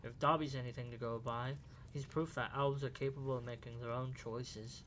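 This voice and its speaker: Man playing young student, medium pitch, calm, measured, principled, audio drama, slight background noise. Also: close to an everyday voice. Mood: earnest. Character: thoughtful, principled young male wizard student. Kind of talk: fan audio drama acting.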